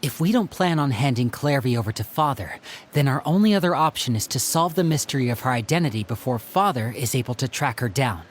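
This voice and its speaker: A man's voice: mid-range voice, lively intonation, a little fast, few pauses, a little hiss or hum, close to an everyday voice. A man playing an earnest, protective young older brother in a dramatic game scene, articulate and courteous. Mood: businesslike, earnest.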